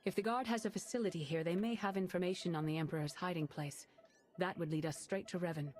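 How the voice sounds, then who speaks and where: An actress playing a calm, perceptive woman Sith agent who speaks in a measured way. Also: fairly low pitch, faint music behind, natural voice. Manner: calm, measured, quietly urgent.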